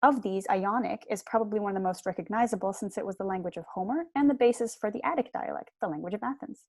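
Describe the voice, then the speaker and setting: Young female lecturer, explanatory and articulate, medium pitch, dry home recording. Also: fast talker, darkish tone, no room sound. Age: young adult. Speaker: lecturer, PhD student. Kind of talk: prepared seminar lecture. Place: small room at home.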